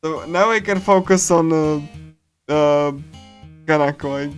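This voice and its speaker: A man with a slightly high voice gives casual live commentary while playing a visual novel. He rambles, restarts and repeats phrases as he reacts. Soft guitar music from the game plays behind him. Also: measured pace.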